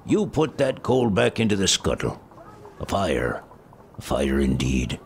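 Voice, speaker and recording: Gravelly, scornful old miser. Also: male voice, low voice, very animated, unhurried, slight background noise, exaggerated voice. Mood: irritable, scornful. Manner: curt, scornful, grumbling. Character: elderly miser, cold, scornful, irritable employer. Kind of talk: audio drama acting.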